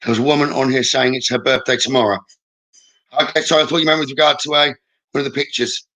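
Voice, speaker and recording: Bright-voiced man hosting a live psychic stream. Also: medium pitch, fairly even intonation, fast talker, poor audio. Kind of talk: live stream readings, chatting with viewers.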